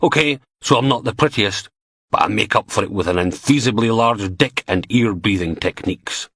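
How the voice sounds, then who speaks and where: A man's voice: fairly low pitch, normal speed, a bit bright, breathy edge, no room sound, studio quality. A man voicing a cheeky, teasing young goth woman who works in forensics.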